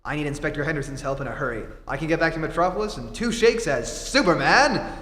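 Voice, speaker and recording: An actor playing an earnest, determined reporter who is secretly a superhero, in 1940s radio style. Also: male voice, fairly high pitch, animated, quick pace, fairly bright tone. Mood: heroic, rousing. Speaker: amateur theatre actor. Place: theatre stage before a live audience. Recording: echoey hall, live stage performance, noisy.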